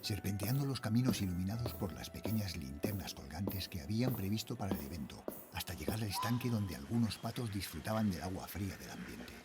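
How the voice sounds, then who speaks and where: Casting: anxious father working night security, Spanish accent from Spain, low register, highly expressive intonation, horror audio fiction. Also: fairly quick, few pauses, loud music behind the voice. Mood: uneasy, brooding. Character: worried father, a night security guard narrating a horror tale. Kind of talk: scripted first-person horror audio drama narration.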